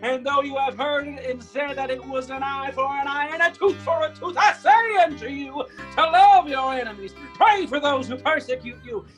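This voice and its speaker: A man playing a fervent, solemn preacher, declaiming a drawn-out dramatic sermon about sin. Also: extremely high pitch, fairly bright tone, poor audio. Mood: solemn, exhorting. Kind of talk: dramatic sermon in rhymed verse. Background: plucked guitar music.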